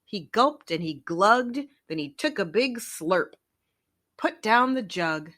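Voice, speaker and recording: A woman storyteller reading a children's picture book aloud in an expressive narrating style. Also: medium pitch, slow pace.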